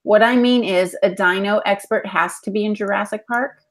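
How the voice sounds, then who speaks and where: A woman's voice: mid-range voice, normal speed, faint music behind. Female panelist, middle-aged, mid-range voice, casual and emphatic, earbud mic on a call. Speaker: panelist reading chat questions. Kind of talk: casual chat. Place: indoor home room, on a video call. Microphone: earbud headset.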